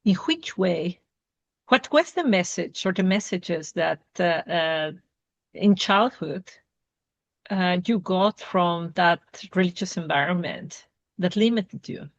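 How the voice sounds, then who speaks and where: A woman hosting a conversational interview, feeling her way through questions in halting, searching phrases, on dull lo-fi audio. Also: fairly low pitch, lively intonation. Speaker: interview host.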